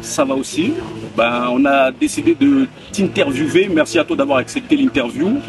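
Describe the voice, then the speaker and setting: Young male interviewer with a lively pitch. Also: normal speed, vocal fry, far from the mic, music in the background. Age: young adult. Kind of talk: polite formal interview questions. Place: outdoors by a hotel pool.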